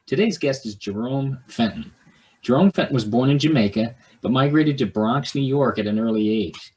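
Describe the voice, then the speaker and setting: A man's voice: mid-range voice, normal speed, darkish tone, low-quality recording. A man hosting a podcast, reading the guest's bio, then asking loose, rambling questions. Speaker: podcast host. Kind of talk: podcast intro and interviewing.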